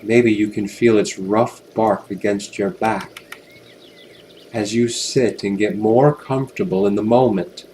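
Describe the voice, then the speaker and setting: A man with a fairly low voice guides a hypnosis session. He speaks very slowly and soothingly, leaving long pauses between gentle phrases. A steady wash of watery ambient noise runs behind him. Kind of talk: guided hypnosis relaxation narration.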